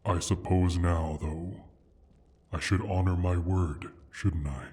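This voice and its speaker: A man voicing a proud, sly male dragon in an ASMR fantasy roleplay, speaking in a deliberate, formal, teasing way with a husky, breathy rumble. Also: fairly even intonation, mellow, full character voice. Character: injured male dragon, proud, sly, possessive of his hoard.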